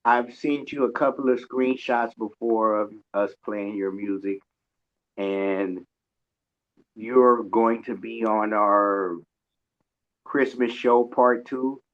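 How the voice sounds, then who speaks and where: A man's voice: fairly low pitch, fairly even intonation, slow pace. A man hosting a casual interview in an informal, colloquial, joking way. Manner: informal, colloquial, self-described loud joker. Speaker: radio show host. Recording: dull lo-fi audio.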